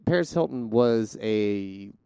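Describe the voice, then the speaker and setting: A man's voice: fairly low pitch, expressive, slow, warm tone, smooth, dry recording, clean studio sound. A man co-hosting a podcast in casual chat, talkative and theatrical, often restarting his sentences. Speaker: podcast co-host.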